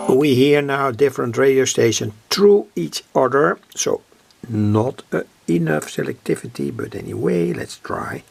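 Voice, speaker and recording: Gravelly Dutch-accented man demonstrating gear. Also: medium pitch, lively intonation, unhurried, a little hiss or hum. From Netherlands. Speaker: electronics hobbyist demonstrator. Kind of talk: casual unscripted demonstration. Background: shortwave radio playing stations.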